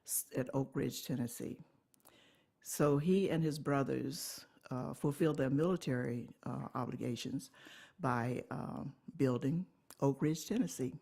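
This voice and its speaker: A woman, telling her life story in an oral history interview, unhurried and pausing often to correct herself. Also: extremely low pitch, creaky, mellow, husky. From Alabama, USA. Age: 80s. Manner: unhurried recollection with pauses and self-corrections.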